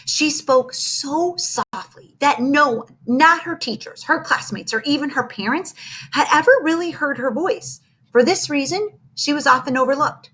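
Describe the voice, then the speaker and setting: A woman reading a children's chapter book aloud, bright and animated. Her pitch sits on the high side and moves in lively swings as she acts out every character. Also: breathy edge, poor audio. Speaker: reader.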